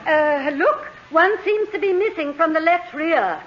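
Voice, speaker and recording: A woman's voice: very high-pitched, expressive, a little slow. A woman playing a genteel, fluttery society lady with an affected, hesitant manner in a 1940s radio comedy, on a muffled old recording. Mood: tactful, hesitant. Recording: dull 1940s radio recording with faint noise.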